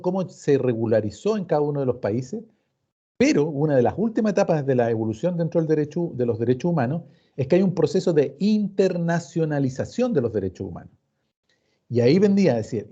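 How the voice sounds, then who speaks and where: Chilean male professor, very dark voice. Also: on the high side, slightly rough. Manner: didactic, emphatic repetition, acts out questions and answers. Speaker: law professor teaching an online class. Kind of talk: informal explanatory lecture with rhetorical questions. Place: recorded online meeting. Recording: dull, muffled online class recording.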